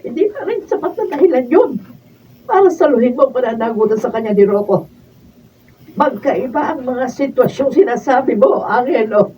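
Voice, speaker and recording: A bitter older Filipino mother in a radio drama. Her high, mellow voice is emphatic and full of reproach, heard from off the mic. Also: animated, moderate pace. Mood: indignant. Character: disapproving, bitter older mother confronting her grown son. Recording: distant mic with faint noise.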